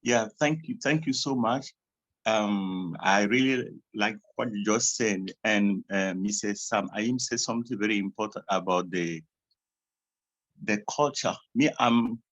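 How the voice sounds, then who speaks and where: A man's voice: fairly low pitch, a little slow, a bit bright, muffled recording. A French-speaking African man, a certified coach, talking hesitantly on a video call with a French accent. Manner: hesitant, with fillers and restarts.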